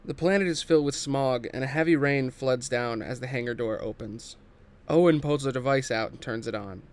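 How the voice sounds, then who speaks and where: Man, table-read narrator, scripted action narration, middle pitch. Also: moderate pace, smooth.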